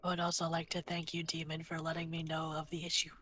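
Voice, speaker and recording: A woman's voice: deep voice, monotone, fast, bright, forceful tone, a little hiss or hum. A woman co-hosting a livestream in casual, conversational talk. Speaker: livestream co-host.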